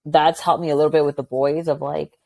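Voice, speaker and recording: A woman guest who is a high school teacher, chatting loudly and animatedly in a casual interview. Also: fairly quick, creaky, no echo. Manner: loud, chatty, animated, many "like" fillers.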